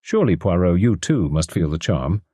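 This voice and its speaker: A man's voice: low voice, big pitch swings, normal speed, mellow, slightly rough, no room sound, exaggerated voice. A man voicing an earnest, wistful Englishman who is the narrator's companion.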